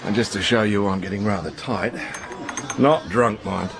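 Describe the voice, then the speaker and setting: A man's voice: on the low side, normal speed, creaky. An actor in a radio drama playing a crude, blustering, middle-aged Australian intelligence man who likes his drink and talks in slang. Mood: tipsy, ranting. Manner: brash, slangy, opinionated.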